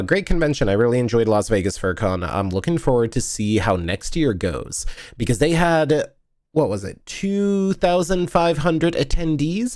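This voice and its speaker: A man's voice: mid-range voice, dry recording. Chatty, sniffly man streaming from a dry room. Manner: rambling, self-correcting, chatty with restarts. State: sniffly and tired. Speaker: vlogger streaming a convention video review. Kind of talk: casual live-stream chat while editing.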